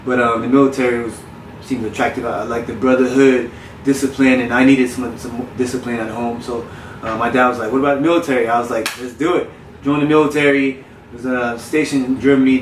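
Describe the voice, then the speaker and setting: A man who grew up in South Florida, a personal trainer telling his story in a relaxed, candid way with frequent restarts. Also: mid-range voice, a little hiss or hum. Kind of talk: casual podcast interview. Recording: roomy, distant mic with faint ambience.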